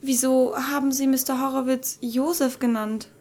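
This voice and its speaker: A woman's voice: high-pitched, little pitch movement, moderate pace, darkish tone, lightly acted. A woman playing an anxious, polite teenage boarding-school girl, somewhat hesitant, in an audio drama. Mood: anxious, shaken. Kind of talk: German audio drama acting.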